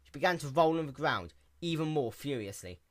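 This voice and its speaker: Animated man reading a story, bright voice. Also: fairly high pitch, brisk. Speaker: fan fiction narrator.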